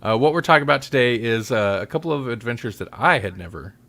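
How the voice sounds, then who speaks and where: A man's voice: medium pitch, lively intonation, fast, vocal fry, a bit bright. A man hosting a podcast, talking in a casual, rambling way with joking, self-deprecating asides. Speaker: podcast host.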